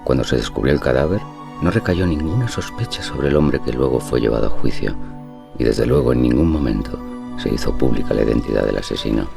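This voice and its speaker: A very low-voiced, creaky gentleman narrates an audio horror drama in a measured, confiding way. He is impressionable and haunted, speaking over loud music. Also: lively intonation, exaggerated voice. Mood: grave, measured. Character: impressionable English gentleman Charles, haunted narrator of a murder tale.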